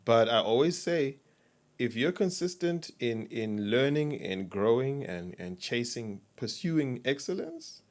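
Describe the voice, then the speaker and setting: A man from Zimbabwe, a sound engineer, talking as a podcast guest in a reflective, measured way in a studio. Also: medium pitch, lively intonation. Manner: reflective, measured, trails off softly. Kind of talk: casual interview chat.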